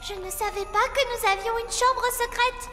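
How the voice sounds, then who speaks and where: A woman voicing an earnest, excitable little child from a fairy kingdom in a French-dubbed cartoon, plaintive and wide-eyed. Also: very high voice, moderate pace, over music. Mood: alarmed.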